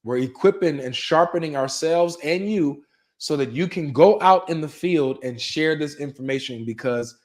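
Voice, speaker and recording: A man's voice: fairly high pitch, moderate pace, darkish tone. A man co-hosting a casual religious debate chat, emphatic and urging viewers on, often ending phrases with "man". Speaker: co-host.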